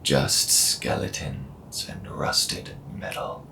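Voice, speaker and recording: A man playing a gruff, easygoing but wary desert local in a sci-fi audio roleplay, with a gravelly voice and folksy manner. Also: very slow, background noise. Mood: wary, friendly. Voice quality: gravelly, creaky. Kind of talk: audio roleplay science fiction acting.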